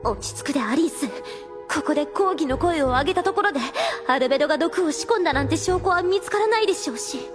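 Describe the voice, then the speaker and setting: Very high-pitched haughty undead girl. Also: lively intonation, fast, music in the background. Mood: scheming, tense. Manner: quaint affected courtly speech, dramatic. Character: theatrical scheming female undead girl, haughty and excitable. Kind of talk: Japanese anime audio drama acting.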